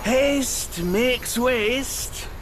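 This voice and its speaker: A man playing a sly, folksy creature in a video game, with a colloquial, teasing and drawn-out manner. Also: very high-pitched, loud music behind the voice, exaggerated voice. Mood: sly, amused.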